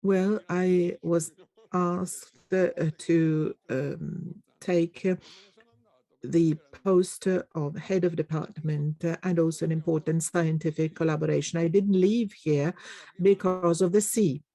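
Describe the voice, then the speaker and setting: A conference interpreter giving a simultaneous English rendering of a panel discussion, halting often with fillers and pauses. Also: mid-range voice, steady tone, warm tone, dry recording.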